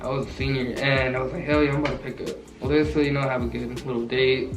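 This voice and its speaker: A man's voice: mid-range voice, little pitch movement, fast. A nineteen-year-old man from Southern California, chatting casually and rambling with slang in a couple Q&A vlog. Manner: laid-back slangy rambling. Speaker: vlogger. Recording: roomy echo, mic far off, music behind.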